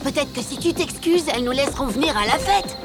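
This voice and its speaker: A mild young cartoon boy, voiced by a woman, eager and conciliatory. He coaxes in a high voice while loud music plays behind him. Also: animated, fast. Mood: coaxing. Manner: coaxing, conciliatory. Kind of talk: cartoon dubbing.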